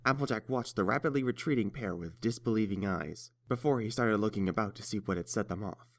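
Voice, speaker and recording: Male narrator for scripted fiction, slightly low voice, storybook reading. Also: fairly quick.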